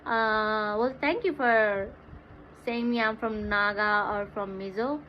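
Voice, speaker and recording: A woman's voice: mid-range voice, steady tone, slow. A woman with a Nepali accent chatting casually and playfully as a livestream host. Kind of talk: casual livestream chat, mixing English and Hindi. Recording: dull lo-fi livestream audio, clear background noise.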